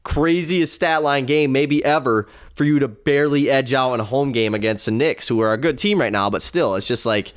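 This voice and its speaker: A man co-hosting a casual sports podcast, a bit stuffy from a cold, talking excitedly in long run-on stretches. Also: mid-range voice, normal speed, few pauses, clear, resonant tone. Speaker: podcast co-host. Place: room at home, game on in background.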